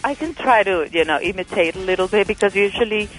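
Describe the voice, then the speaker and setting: A woman from Venezuela with a Venezuelan Spanish accent, a Spanish-speaking dubbing actress calling into a radio show, hesitant and halting as she searches for words. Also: medium pitch, animated, faint music behind, poor audio. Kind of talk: phone-in chat.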